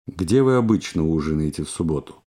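A man with a low, dark voice reads practice phrases for a language course. He speaks slowly and articulates every word carefully, in a deliberate and steady manner. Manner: slow, careful, clearly enunciated. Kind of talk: scripted language drill reading.

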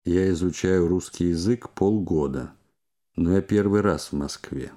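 A man reading scripted phrases and questions for a language course, slowly and with careful, clear enunciation. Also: low voice, dark tone.

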